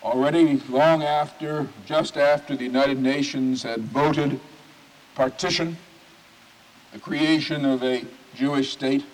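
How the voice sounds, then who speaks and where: A man, a rabbi, giving a sermon in an oratorical manner, stacking phrases with emphatic pauses. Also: mid-range voice, steady tone. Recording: reverberant hall recording.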